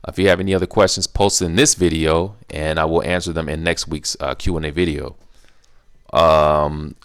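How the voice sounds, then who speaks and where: Casual male host, very low voice, loose rambling delivery with lots of restarts. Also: fairly even intonation, normal speed, smooth, dry recording, clean studio sound. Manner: rambling, repeats himself, restarts often; laid-back, playful teasing. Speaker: YouTube Q&A host. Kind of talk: casual solo Q&A monologue.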